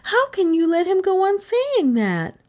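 A woman's voice: very high-pitched, very animated, unhurried, a little mellow, pitched above the performer's own voice, exaggerated voice. A woman voicing an anxious, loyal little girl with an earnest, pleading way of talking in a storybook.

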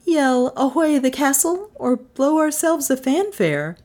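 A woman voicing an impish, sharp-tongued young woman who is easily alarmed, in audiobook dialogue with a teasing, exclamatory manner. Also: high voice, sing-song, measured pace, voice pushed up.

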